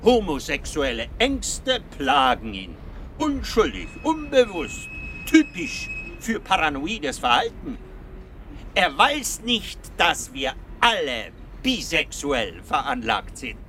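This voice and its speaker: An urbane Viennese psychoanalyst in a radio play, speaking in a slow, highly expressive voice with a bright edge. He lectures with smug assurance and tags his points with rhetorical questions. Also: slight background noise, exaggerated voice. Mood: amused, assured. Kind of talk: German radio drama acting.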